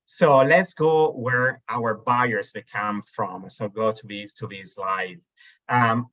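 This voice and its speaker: A man's voice: lowish voice, sharp tone. A man in his thirties with an Italian accent presenting slides on a webinar, hesitant, with many fillers and restarts. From Italy. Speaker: webinar host for a marketplace. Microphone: video call. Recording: dull lo-fi video call audio.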